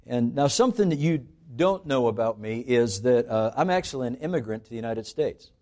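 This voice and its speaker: A middle-aged man born in Scotland and raised in Canada, preaching a sermon earnestly, slowing down on key phrases for emphasis. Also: mid-range voice, animated, dark tone.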